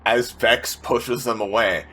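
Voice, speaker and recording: Bright-voiced man talking through a tabletop game. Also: medium pitch, fairly even intonation, slow, slightly rough, rough recording. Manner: thinks aloud, repeats and corrects words, swears casually. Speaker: player in a tabletop role-playing game show. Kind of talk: casual game play talk with narration of own character.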